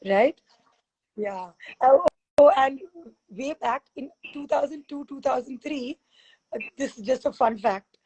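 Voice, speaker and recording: A woman hosting a casual interview over a video call, chatty and rambling, her voice husky from teaching back-to-back classes. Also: high-pitched, unhurried, pauses often, dark tone, highs cut off. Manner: chatty, rambling, laughs often. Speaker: fitness expert and host.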